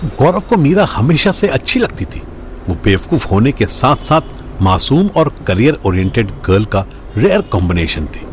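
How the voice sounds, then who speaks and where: A man's voice: mid-range voice, slight background noise. A man narrating a Hindi audio drama in a scripted storytelling style.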